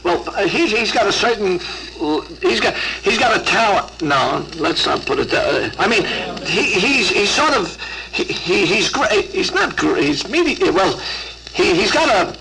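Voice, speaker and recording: High, flustered stammering man, played by an actor. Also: big pitch swings, creaky, pushed and bright, full character voice. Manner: halting, stammering, constantly restarting. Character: flustered stammering man unable to finish thoughts. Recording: played clip on noisy old tape.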